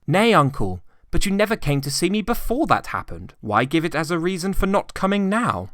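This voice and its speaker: Man playing a high, eager, good-hearted nephew. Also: very animated, a little fast, a bit bright, no echo, clean studio sound. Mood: hurt, earnest. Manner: pleading, exclamatory. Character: warm-hearted, cheerful, earnest younger nephew.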